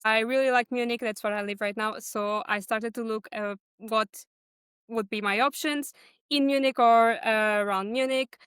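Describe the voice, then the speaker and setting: A woman's voice: on the high side, steady tone, moderate pace, a bit bright, clean tone, no room sound, studio quality. A woman in her twenties from Portugal with a Portuguese accent, telling her own story conversationally as a podcast guest. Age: 20s. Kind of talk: conversational personal account.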